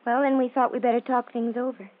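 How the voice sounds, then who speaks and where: A woman's voice: fairly high pitch, flat delivery, fast talker, lightly acted. A woman playing a lovestruck, overwrought seventeen-year-old girl in an old-time radio drama, with a girlish, earnest delivery. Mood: subdued, confessional. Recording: tinny narrow-band old radio recording.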